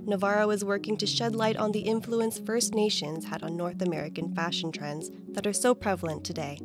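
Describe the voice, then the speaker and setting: A woman narrating a news feature from a script with an even, read-aloud delivery. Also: mid-range voice. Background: background music.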